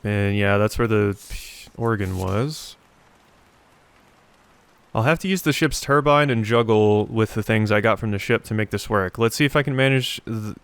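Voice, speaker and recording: A man's voice: fairly low pitch, fairly bright tone, smooth, no room sound. A man doing casual let's play commentary, thinking aloud as he plays.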